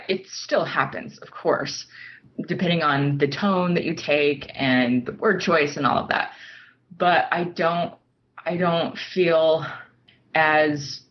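Slow, low-voiced woman in reflective conversation. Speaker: podcast guest, nonfiction writer. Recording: dull lo-fi audio.

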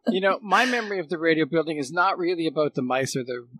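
A man's voice: on the high side, expressive, quick pace, fairly bright tone, husky, no room sound. A middle-aged man chatting as a guest, reminiscing in a casual, nostalgic way. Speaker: guest, former radio technician.

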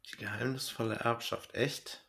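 A man's voice: medium pitch, steady tone, normal speed, gravelly, pushed and bright. A man hosting a casual podcast interview and chatting with his guest.

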